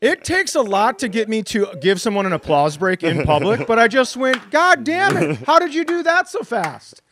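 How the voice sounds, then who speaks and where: A man co-hosting a comedy podcast, telling casual stories and acting out the dialogue in an animated way. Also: very high voice, moderate pace, a little hiss or hum. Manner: animated, acts out quoted dialogue.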